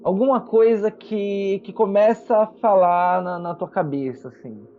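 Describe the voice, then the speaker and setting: A man's voice: high-pitched, unhurried, muffled recording. A man with a Brazilian accent narrating a tabletop fantasy game as game master, in an explanatory storytelling manner. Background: background music.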